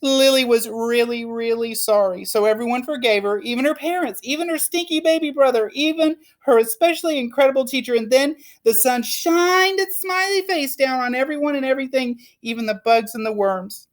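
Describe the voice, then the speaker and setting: A woman reading a children's storybook aloud in an expressive, emphatic way. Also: high-pitched, fairly quick, few pauses. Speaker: teacher reading a picture book aloud. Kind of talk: animated storybook read-aloud.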